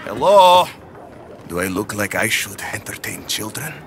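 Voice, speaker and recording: A man's voice: fairly low pitch, sing-song, a little slow, vocal fry, background noise, full character voice. A male actor playing a bitter, boastful inventor in a video game, ranting grandly in broken English with an Eastern European accent. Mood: boastful, indignant. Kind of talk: video game character acting.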